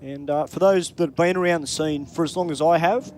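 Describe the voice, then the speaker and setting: A man's voice: a little high, fairly even intonation, fairly quick, a little mellow. A man with an Australian accent calling drag races in live commentary, chatty and upbeat in easy banter.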